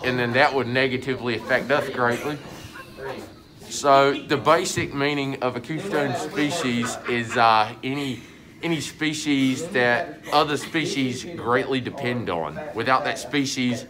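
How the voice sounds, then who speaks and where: A man's voice: mid-range voice, unhurried, crowd noise. A teenage boy presenting a school project in a classroom, loose and joking, with casual filler words, filmed close on a phone. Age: teens. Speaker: student presenter.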